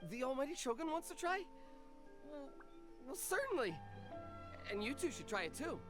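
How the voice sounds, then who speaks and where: A woman's voice: high voice, sing-song, moderate pace, over music. A woman playing a humble female snack vendor in a video game, earnest, deferential and easily flustered.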